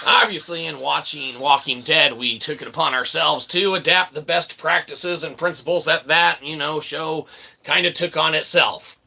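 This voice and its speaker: Male comedic host, piercingly bright and tense, theatrical tongue-in-cheek monologue, some background noise. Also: a little high, moderate pace, gravelly, rough recording. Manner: theatrical mock showman, tongue-in-cheek asides. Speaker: host of a comic philosophy show.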